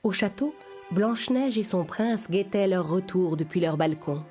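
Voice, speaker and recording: Female narrator, children's bedtime story, scripted delivery, mid-range pitch, clear background music. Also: normal speed.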